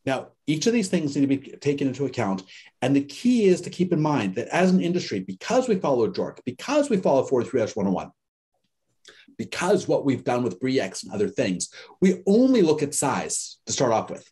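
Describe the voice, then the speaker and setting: A man teaching an online class in a lively, conversational way, telling stories and acting out the people he quotes. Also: medium pitch, a little fast. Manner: animated storyteller, acts out quoted people. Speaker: course instructor.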